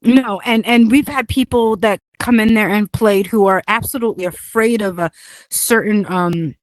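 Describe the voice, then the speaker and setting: A woman's voice: mid-range voice, moderate pace, dry recording. A woman whose first language is Hungarian, chatting casually about building a game, rambling with many fillers and laughs. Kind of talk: casual chat.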